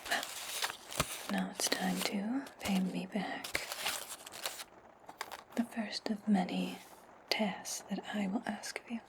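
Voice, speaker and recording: A woman playing an imperious, scheming witch in a breathy, condescending whisper for an ASMR fantasy roleplay. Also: medium pitch, steady tone, background noise, lightly acted. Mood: commanding, sly. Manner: slow, deliberate, condescending. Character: imperious, scheming witch giving orders to her servant.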